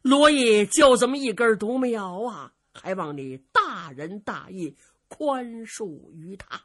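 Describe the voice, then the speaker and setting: A woman voicing an aggrieved but forbearing old lord in a storytelling tale, slow and deliberate. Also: medium pitch, animated. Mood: pleading.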